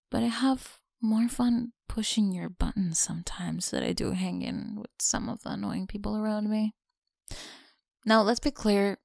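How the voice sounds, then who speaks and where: A woman voicing a mean, teasing tsundere college bully in an intimate ASMR roleplay, creaky and often dropping into breathy whispers. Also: mid-range voice, no echo, studio quality.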